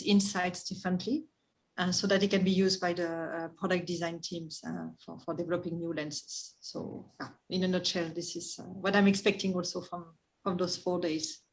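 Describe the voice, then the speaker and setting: A woman's voice: on the low side, rough recording. French-accented woman introducing herself. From France. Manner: hesitant, many fillers. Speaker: course participant, research manager.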